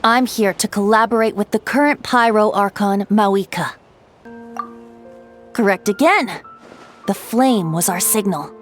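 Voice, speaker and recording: A woman's voice: medium pitch, unhurried, pauses often, lightly acted. A woman voicing a playful, wistful ancient spirit in the shape of a youthful bard in a video game, with a lilting, teasing, theatrical delivery. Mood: lighthearted. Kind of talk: scripted game character storytelling. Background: background music.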